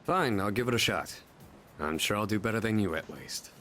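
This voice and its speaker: Proud, awkward teenage boy, low-voiced. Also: lively intonation, normal speed, a bit bright, faint music behind. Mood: gruff, competitive. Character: proud, socially awkward male high school senior. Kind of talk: English video game voice acting.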